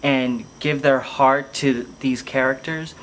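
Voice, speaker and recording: A man's voice: mid-range voice, steady tone, takes it slowly, low-quality recording. Man, reflective vlog monologue, hesitant, thinking aloud, light room noise. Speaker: filmmaker and podcast host.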